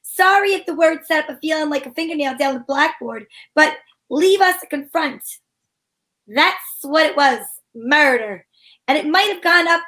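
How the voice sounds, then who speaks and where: A woman's voice: high-pitched, lively intonation, moderate pace, bright, forceful tone. A woman playing a breezy female private investigator in an amateur reading of an old-time radio play. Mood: wry narration.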